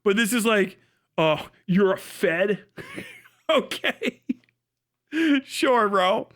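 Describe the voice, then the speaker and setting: High-pitched man, casual profane streamer. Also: very animated, very slow, fairly bright tone. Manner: incredulous, mocking, heavy swearing and slang. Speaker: livestream host reacting to a video. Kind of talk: casual profane reaction commentary.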